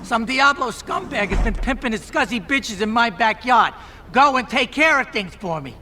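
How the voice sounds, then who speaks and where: A brash mob pimp boss. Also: male voice, extremely high pitch, lively intonation, pushed and bright, slight background noise, exaggerated voice. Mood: bossy. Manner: brash, demanding, fast-talking. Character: sleazy mob pimp boss, greedy and pushy.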